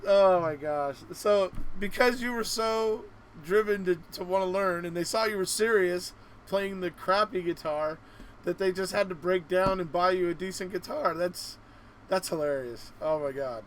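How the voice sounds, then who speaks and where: Higher-voiced male host over faint noise. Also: normal speed. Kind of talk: casual live interview.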